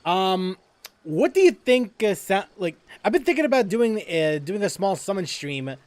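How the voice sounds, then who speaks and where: A gaming streamer giving casual let's-play commentary, talking in a halting, stammering way full of restarts and fillers. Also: mid-range voice, very animated, a little mellow, no room sound.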